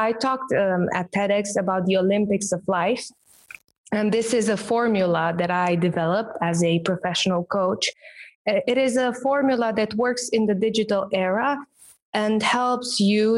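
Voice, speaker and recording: A woman whose first language is Romanian, speaking English as an interview guest and professional coach in a measured, explanatory way. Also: medium pitch, fairly even intonation. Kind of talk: podcast interview answers.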